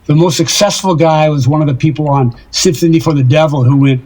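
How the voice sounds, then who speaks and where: An actor playing a lovesick, self-deprecating older married man in an audio drama, rambling wistfully and haltingly in a husky voice. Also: fairly high pitch, steady tone, darkish tone, close to an everyday voice.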